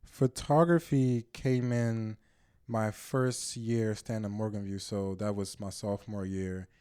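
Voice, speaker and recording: A man's voice: fairly low pitch, steady tone, takes it slowly, dark tone, smooth. A young man, a podcast guest whose first language is not English, chatting casually in a loose, slangy, profane way with lots of fillers. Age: young adult. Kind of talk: casual chat.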